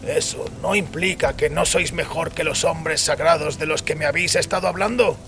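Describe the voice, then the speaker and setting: A man's voice: fairly high pitch, normal speed, gravelly, music in the background, voice pushed up. A man voicing an anguished, defiant priest in dramatized audio fiction, accusing and impassioned in formal phrasing.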